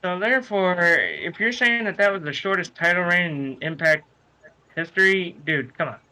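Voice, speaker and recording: A man's voice: high voice, animated, moderate pace, bright, forceful tone, rough recording. A man giving opinions casually on a sports podcast, blunt and emphatic in how he talks. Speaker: wrestling podcast panelist.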